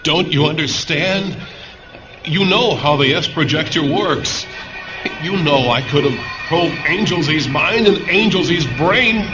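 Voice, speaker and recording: A man playing an earnest, insistent scientist in a vintage sci-fi radio drama, explaining things with dramatic emphasis. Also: fairly high pitch. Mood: urgent revelation. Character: earnest male scientist who probes minds, urgent and insistent. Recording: dull vintage radio sound, music underneath.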